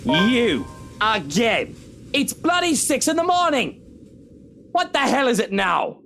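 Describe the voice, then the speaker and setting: A woman's voice: fairly high pitch, very animated, takes it slowly, vocal fry, over music, heavily acted. A woman voicing an irritable, haughty resident of a wealthy house in a horror visual novel, scolding with sarcasm. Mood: outraged. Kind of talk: dramatic visual novel voice acting.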